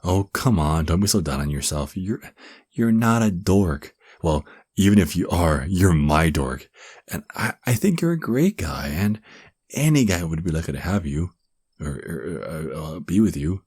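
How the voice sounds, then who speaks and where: A young man acting a shy, flustered guy in love with his best friend in an ASMR roleplay, speaking softly and hesitantly with stammers. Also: low-pitched, animated, measured pace, exaggerated voice. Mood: tender, nervous. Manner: hesitant, stammering, breathy sighs and chuckles. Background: crickets chirping.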